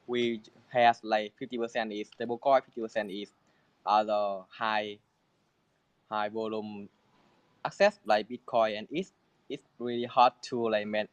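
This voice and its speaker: A man from a crypto project answering questions in halting English, restarting his sentences often. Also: mid-range voice, no echo, lo-fi sound. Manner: halting non-native English with many restarts. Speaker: crypto project team member.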